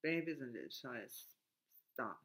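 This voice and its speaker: A man from Germany with a German accent, speaking haltingly in a reflective monologue with frequent restarts and broken grammar. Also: mid-range voice, sing-song, a bit bright.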